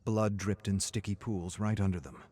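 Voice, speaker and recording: A man voicing a weary survivor scientist recording game audio logs, measured and grave, his phrases trailing off into a hush. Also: fairly low pitch, fairly even intonation, close to an everyday voice. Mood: shaken, grim. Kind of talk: scripted dramatic audio log narration.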